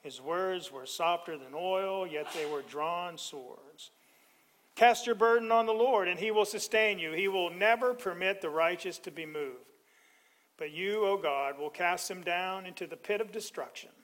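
A middle-aged male pastor preaching a sermon, animated and joking with the congregation. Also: high-pitched, a little slow.

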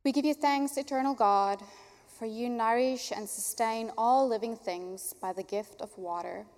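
A young adult woman pastor from Africa, an Afrikaans speaker, leading a baptism prayer in an earnest, warm way. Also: fairly high pitch, measured pace. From South Africa. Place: church sanctuary. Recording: long church hall reverb.

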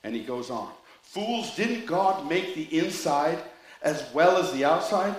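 A man's voice: on the high side, expressive, measured pace, roomy sound, poor audio. A man preaching a sermon with rambling asides and jokes, reading scripture and telling a story. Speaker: pastor.

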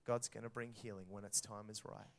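A man preaching a sermon to children and parents, telling a story in a lively way and acting out the dialogue. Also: fairly low pitch, a little fast.

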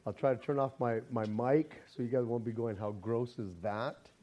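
A man with a hoarse, raspy voice, preaching a casual sermon with jokes and a rambling, self-interrupting way of talking. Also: mid-range voice, normal speed, warm tone. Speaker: pastor. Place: church sanctuary.

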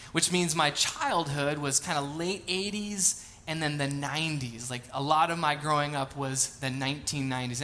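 Bright-voiced pastor in his thirties. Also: on the high side. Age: 30s. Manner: casual, chatty, self-deprecating storytelling. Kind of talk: sermon with scripture reading and prayer. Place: church sanctuary.

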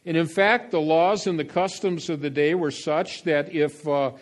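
An older male pastor delivering a folksy sermon, full of fillers and asides, swinging between quiet remarks and loud calls to the congregation. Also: on the high side, fairly even intonation, normal speed. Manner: folksy, meandering preaching with frequent fillers.

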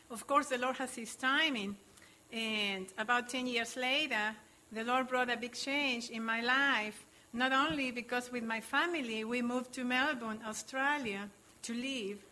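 A woman's voice: on the high side, pushed and bright, slight background noise, poor audio. Earnest woman missionary from Chile. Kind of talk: church testimony.

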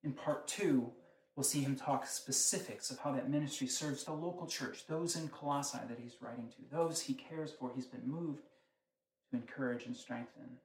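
A man's voice: medium pitch, moderate pace, slight background noise. Man preaching in an echoey room. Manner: conversational teaching, frequent rhetorical questions. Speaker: pastor. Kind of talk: sermon.

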